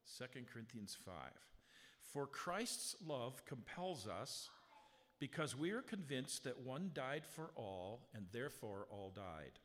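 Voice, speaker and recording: A man with a strong voice leads a sermon in a church sanctuary. He talks to the congregation with rhetorical questions and reads scripture slowly and with weight. The sound carries a long hall reverb, and his pitch sits at a middle level. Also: big pitch swings, a bit bright. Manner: conversational preaching with rhetorical questions; slow, emphatic scripture reading. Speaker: pastor. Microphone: handheld or stand mic, sound adjusted live.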